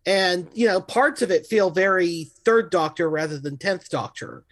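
A man's voice: high voice, moderate pace, fairly bright tone. A man co-hosting a podcast in a casual, analytical discussion. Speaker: podcast co-host.

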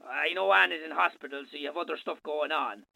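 A man acts a neighbourly Irish farmer in a radio drama. His voice is strikingly sharp with plenty of creak, and fairly high. He speaks haltingly and with concern. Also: dry recording, full character voice, rough recording. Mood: concerned, hesitant. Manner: halting, solicitous. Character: neighbourly, hesitant farmer making a phone call. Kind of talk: naturalistic radio drama acting.

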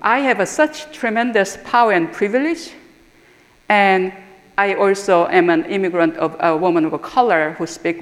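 A middle-aged woman with a Korean accent and a lowish voice speaks earnestly, often stopping to search for words with "uh". Her talk rings out with long reverb, as in a large hall. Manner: earnest, reflective, pauses often with "uh". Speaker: theological school dean. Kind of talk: personal talk or lecture.

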